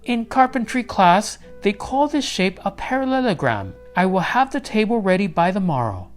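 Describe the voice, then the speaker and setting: A man's voice: high-pitched, big pitch swings, moderate pace, soft background music, voice pushed up. A man voicing a helpful storybook carpenter who explains things. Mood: matter-of-fact.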